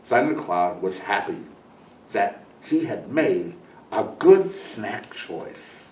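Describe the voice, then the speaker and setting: A man reading a children's story aloud as a guest storyteller, deliberate and expressive. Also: fairly low pitch. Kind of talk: scripted children's story narration read aloud. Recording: roomy echo, distant microphone.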